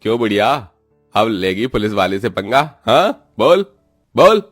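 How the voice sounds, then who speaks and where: A man's voice: fairly low pitch, very animated, a little slow, no room sound, clean studio sound, faint music behind, pitched above the performer's own voice. A man voicing a cruel, taunting policeman who barks threats in a cartoon story. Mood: menacing. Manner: barking, taunting. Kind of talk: Hindi cartoon story acting.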